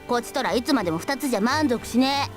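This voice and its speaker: 女性が演じる早口で生意気な少年. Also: female voice, on the high side, expressive, very fast, lightly acted. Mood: brash, defiant. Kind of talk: Japanese visual novel voice acting. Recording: music playing behind the voice.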